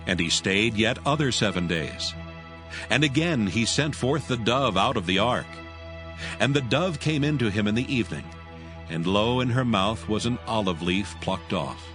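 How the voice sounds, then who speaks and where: A man narrating scripture from a script in a measured, solemn way. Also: lowish voice. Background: music.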